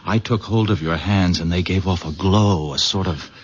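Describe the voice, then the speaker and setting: An actor playing a protective, excitable nephew in a 1970s radio drama, emphatic and urgent. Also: male voice, sits low, normal speed, husky. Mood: probing, amazed. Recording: dull, muffled, dry old radio broadcast.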